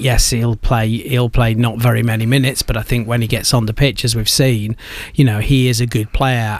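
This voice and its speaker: A man giving his opinion as a radio pundit, hesitant and repeating himself. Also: mid-range voice. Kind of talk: studio discussion.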